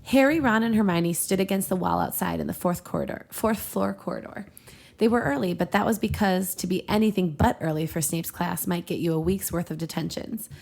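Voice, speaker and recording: A woman with a noticeably creaky voice hosts a comedy read-aloud. She narrates fiction casually and expressively, with a playful touch. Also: fairly low pitch, brisk, darkish tone. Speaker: podcast host reading fiction aloud.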